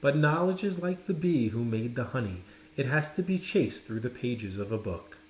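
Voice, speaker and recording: A man reading a storybook and voicing an earnest young schoolgirl in a deliberate way. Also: mid-range voice, animated, darkish tone, slight background noise, lightly acted. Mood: earnest wonder. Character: earnest young schoolgirl who struggled to read. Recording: roomy, echoing indoor sound.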